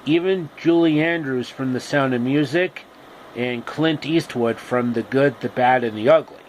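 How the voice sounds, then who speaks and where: A man telling an improvised comic retelling of a fairy tale, haltingly and repeating phrases. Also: mid-range voice, low-quality recording. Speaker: storyteller. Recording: steady background noise.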